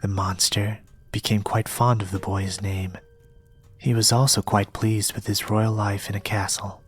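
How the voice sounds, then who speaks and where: Young man, soft-spoken ASMR storyteller, partly whispered, very even low tone, gentle, faint music behind. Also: slightly rough. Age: young adult. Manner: slow, gentle, measured delivery. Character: polite soft-spoken young male reader, quietly eerie. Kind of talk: ASMR storybook reading in character.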